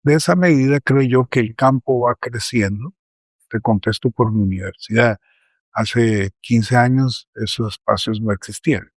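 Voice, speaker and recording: A man's voice: medium pitch, moderate pace, no room sound. A middle-aged academic man, speaking earnestly at a round table panel.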